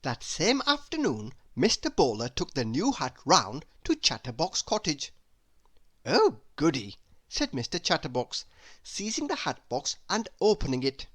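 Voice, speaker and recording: A woman reads a children's story aloud as narrator. Her voice sits low, and her pitch moves dramatically for expressive storytelling. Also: moderate pace.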